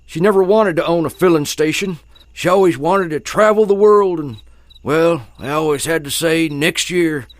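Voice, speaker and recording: An actor playing a grouchy, superstitious old widowed filling station owner with folksy speech in an audio drama. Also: male voice, a little high, moderate pace, no room sound, natural voice. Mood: mournful, awed.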